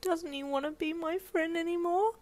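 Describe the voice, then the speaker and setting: A wailing four-year-old. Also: female voice, steady tone, moderate pace, a little mellow, dry recording. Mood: distraught. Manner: high, wailing, childlike. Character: upset four-year-old child, pleading and tearful.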